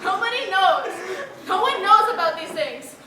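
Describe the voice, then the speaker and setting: A teenage girl plays a melodramatically grieving young wife on stage, earnest and halting. Her voice is extremely high. It comes from far off in an echoing, noisy hall. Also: animated, sharp tone, heavily acted. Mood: anguished. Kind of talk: high school stage comedy acting. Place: large theater stage, indoors. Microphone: far camera.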